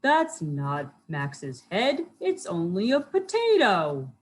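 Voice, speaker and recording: A loud, good-natured but bossy dog from a storybook, voiced by a woman. It woofs between phrases in a lively, swinging voice with faint noise on the recording. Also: on the low side, slow pace, full character voice. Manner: barks "ruff" between phrases.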